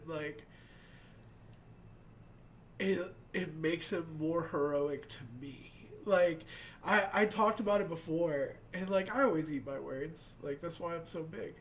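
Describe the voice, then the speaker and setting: A man's voice: fairly high pitch, fairly bright tone, a little hiss or hum. A young man hosting an anime reaction at home, stuffed up from crying and rambling with lots of "like.". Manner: rambling, fills talk with "like". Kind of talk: emotional casual reaction chat. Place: small home room with shelves of figures.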